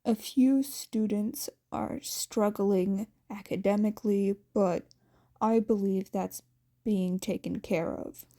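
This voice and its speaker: A woman voicing a gruff, weary male hero and guardian, grumbling tersely in a story reading. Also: medium pitch, steady tone, slow pace, warm tone.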